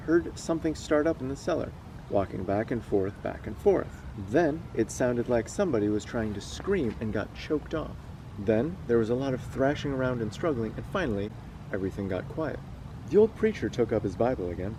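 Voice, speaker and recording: A man's voice: medium pitch, big pitch swings, moderate pace, warm tone, slight background noise, poor audio. A man hosting a casual read-aloud, moving between storytelling and chat. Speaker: host reading stories aloud.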